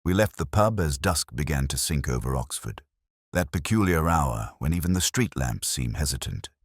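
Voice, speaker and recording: A man playing a brooding English police detective, narrating a mystery drama in a measured, literary and melancholy way. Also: rumbling low, no room sound, clean studio sound, exaggerated voice. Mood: brooding, grave. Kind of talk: scripted first-person mystery narration.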